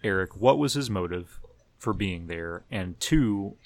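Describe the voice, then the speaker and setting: A man with a low voice talks as a podcast co-host in a dry-sounding recording. His delivery is informal and slightly hesitant, like a fan sharing opinions among friends. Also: animated, measured pace, no echo. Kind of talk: casual fan discussion of superhero TV.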